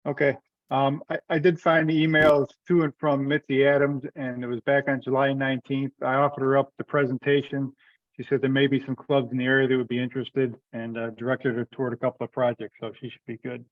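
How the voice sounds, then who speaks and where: A man's voice: mid-range voice, little pitch movement, fairly quick, dry recording. A man talking briefly and matter-of-factly on a conference call. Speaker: meeting participant. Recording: dull, distant teleconference audio.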